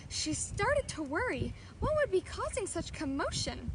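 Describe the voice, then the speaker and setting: A woman's voice: extremely high pitch, very animated, unhurried, background noise. A woman storyteller reading a children's fairy tale aloud.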